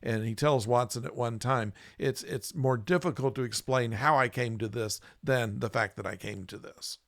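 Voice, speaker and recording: A man on a podcast talking casually about a book and reading long passages from it aloud, halting with fillers and restarts. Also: mid-range voice, vocal fry, fairly bright tone, no room sound, studio quality. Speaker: podcast host.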